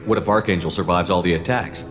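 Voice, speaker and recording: A man's voice: sits low, fast talker, music in the background, natural voice. A man voicing a tough, terse soldier commander in a video game, blunt and controlled. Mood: terse, probing.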